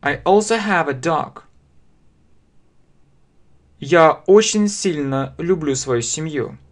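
A man in his thirties with a Russian accent, teaching a language lesson very slowly, spelling out phrases word by word and repeating them at a desk webcam. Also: fairly high pitch, expressive. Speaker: English teacher. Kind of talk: slow step-by-step language lesson. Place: small room indoors.

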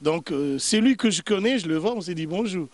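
An earnest man in his thirties, from Congo, speaks as a guest analyst in a sunny garden, answering into a handheld microphone held close. His voice sits a little higher than most men's, and he stumbles through repeated words while he gathers his thoughts. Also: expressive, fairly quick. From Democratic Republic of the Congo. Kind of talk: interview answers. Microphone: handheld mic held by interviewer.

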